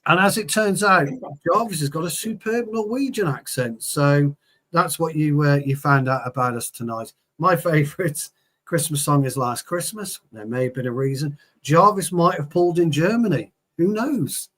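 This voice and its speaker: A man hosting a football podcast with a fairly high voice. He is self-mocking and easygoing, and he steers the chat. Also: moderate pace, a bit bright. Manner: self-mocking, wraps up segments. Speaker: podcast host. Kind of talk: casual chat.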